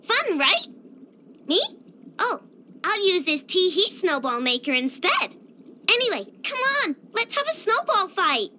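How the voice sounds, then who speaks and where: A woman's voice: extremely high pitch, sing-song, measured pace, sharp tone, a little hiss or hum, full character voice. A woman voicing a childish, playful and bossy little girl inventor with a sweet tooth, as a video game character. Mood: cheerful, friendly.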